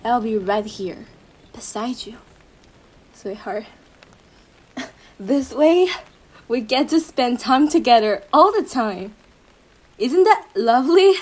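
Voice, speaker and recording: An obsessive girlfriend, played by a woman, speaks straight to her beloved in an intimate audio roleplay. Her voice is fairly high and very slow, lingering over pet names. The sweetness is possessive and unhinged, with a threat beneath it. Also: heavily acted, low-quality recording. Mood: possessive, cooing. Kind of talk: roleplay audio drama, intimate monologue addressed to listener.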